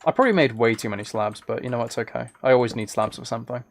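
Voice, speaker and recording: A man's voice: medium pitch, racing, creaky, a little hiss or hum. A man streaming a video game, chatting casually and thinking aloud in hesitant fragments. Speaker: live streamer. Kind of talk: casual livestream chat while playing a video game.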